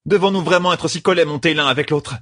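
A man's voice: on the high side, fast talker, sharp tone, dry recording, studio quality, voice pushed up. A man voicing a proud, torn queen, a mature woman in love, with a regal, impassioned delivery for an audiobook. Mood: sharp, irritated.

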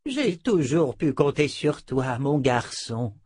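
A man's voice: on the high side, big pitch swings, slow pace, no room sound, clean studio sound, pitched above the performer's own voice, exaggerated voice. A man voicing a kindly old wizard, weary and short of breath, in an audiobook fantasy tale. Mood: fond, tired. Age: older. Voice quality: breathy, faltering.

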